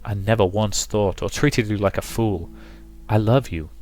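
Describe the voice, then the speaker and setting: A man's voice: lowish voice, moderate pace, a little mellow, faint music behind, natural voice. A man voicing an exasperated, lovesick rake with wounded pride, speaking dramatically in a visual novel. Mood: pleading. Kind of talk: visual novel character reading.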